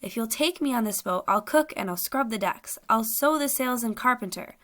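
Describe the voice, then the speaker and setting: A woman's voice: medium pitch, expressive, normal speed, fairly bright tone. A woman voicing a desperate young male soldier who pleads emotionally to be taken along. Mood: pleading.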